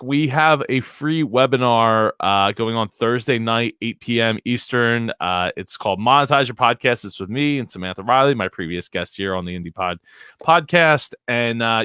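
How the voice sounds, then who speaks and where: A man's voice: mid-range voice, a bit bright, smooth, no echo, studio quality. A man hosting a live podcast show, doing promos and introducing a guest in a rambling, playful way with many fillers. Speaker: podcast host. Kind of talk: live show host chat.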